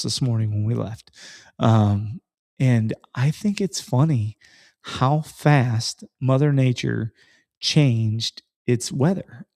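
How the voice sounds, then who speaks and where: A man's voice: mid-range voice, gravelly, mellow, dry recording, clean studio sound. A man, hosting a podcast in a rambling, folksy way, full of fillers and restarts. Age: 30s. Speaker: podcast host. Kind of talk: casual chat.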